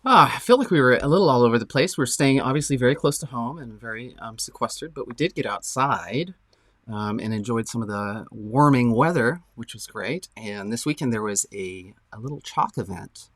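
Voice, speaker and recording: A man's voice: mid-range voice, animated, moderate pace, fairly bright tone, no room sound. An upbeat man co-hosts a casual local morning show, chatty and easygoing, with a voice in the middle of the usual range. He is recorded dry and close, with no room sound. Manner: upbeat, chatty, frequent fillers.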